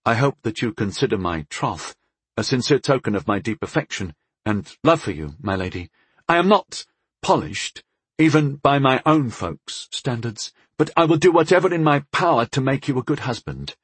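A man voicing an earnest, courteous country knight with rough edges in a fantasy audiobook, dignified and formal. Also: mid-range voice, animated, moderate pace, no echo, pitched above the performer's own voice. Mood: devoted. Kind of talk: audiobook character voice.

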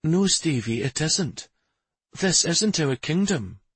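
A man voicing a gentle but firm princess in a fantasy audiobook, with a mild, measured manner. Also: fairly high pitch, sing-song, husky, no echo, pitched above the performer's own voice, exaggerated voice. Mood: earnest. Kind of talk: fantasy audiobook character dialogue.

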